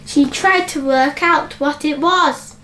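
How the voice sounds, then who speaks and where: Female storyteller for young children: very unhurried, high voice, expressive bedtime narration, some background noise. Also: poor audio.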